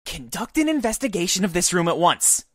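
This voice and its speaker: A woman's voice: on the low side, very animated, a little fast, no room sound, studio quality. A woman playing a formal, imperious female commander in a visual novel, giving exacting, scolding orders. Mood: stern, commanding. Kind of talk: visual novel character acting.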